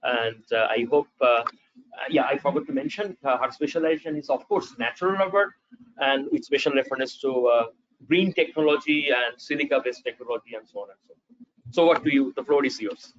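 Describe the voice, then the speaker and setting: A man's voice: medium pitch, a little fast. A middle-aged man introducing a conference speaker, hesitant and full of fillers. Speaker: session chair introducing a speaker. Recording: dull conference recording with faint noise.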